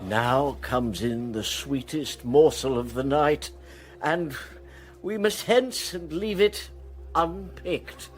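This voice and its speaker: An older actor playing a fat, boastful, roguish old knight in a Shakespearean radio drama, blustering and halting as he wheedles. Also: fairly high pitch. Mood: grandly rueful. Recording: music behind the voice.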